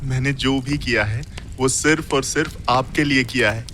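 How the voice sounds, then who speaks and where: A man's voice: mid-range voice, moderate pace, bright, forceful tone, a little hiss or hum, natural voice. A man playing a reverent, devoted follower in a horror audio drama, with a theatrical delivery.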